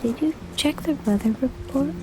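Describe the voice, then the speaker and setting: A woman playing a practical, gently questioning schoolgirl daughter in an audio drama. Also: sits high, normal speed, loud music behind the voice, lightly acted.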